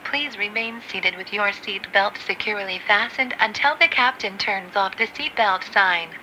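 A woman's voice: medium pitch, sharp tone, low-quality recording. A woman making a scripted, formal inflight announcement as a flight attendant over the cabin PA. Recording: cabin PA with faint noise. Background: cabin noise.

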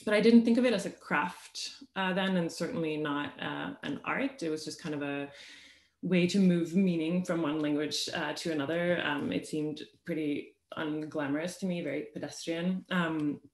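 Gravelly Swedish-native woman on a video call. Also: deep voice, normal speed, roomy sound. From Sweden. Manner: thoughtful, many ums and uhs. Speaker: translator and panelist. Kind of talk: conversational storytelling. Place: bright home room.

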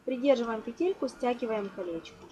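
High-voiced woman giving craft instructions. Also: expressive, brisk, dark tone. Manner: fond of diminutives, explains step by step. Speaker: crochet tutorial host. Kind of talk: hands-on craft instruction, spoken informally. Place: indoors at a table. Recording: noisy amateur home recording.

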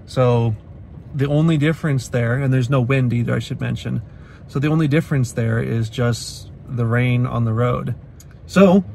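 A man's voice: mid-range voice, a little mellow, slight background noise. Car vlogger, man, 30s, from the Pacific Northwest; plain mid voice, loose and chatty, phone mic inside a car. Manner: chatty, matter-of-fact, many uhs and restarts. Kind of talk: casual vlog talk to camera. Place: inside a parked car at night. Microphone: phone camera at arm's length.

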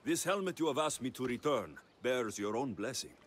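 An actor playing a wry, confident young Greek mercenary hero in a video game, with a dry, teasing delivery. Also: male voice, medium pitch, sing-song, normal speed, slight background noise, lightly acted. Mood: questioning, earnest. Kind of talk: video game cutscene dialogue.

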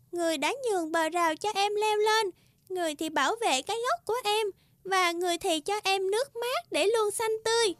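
A woman voicing a kind, humble little flower in an animated fairy tale, childlike, polite and earnest. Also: extremely high pitch, dry recording. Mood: happy. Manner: polite, earnest, wide-eyed.

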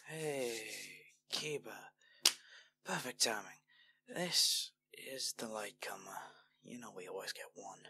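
A man playing a weary, firm but kindly army general with measured authority in a scripted roleplay audio drama. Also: medium pitch, animated, sharp tone, heavily acted. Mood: brisk, authoritative. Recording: noisy home mic with hum.

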